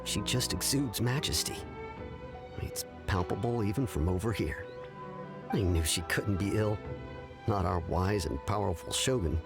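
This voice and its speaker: A man's voice: fairly low pitch, unhurried, gravelly. A man playing a loyal, reverent townsman in a video game, speaking with emphatic admiration. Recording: game music behind.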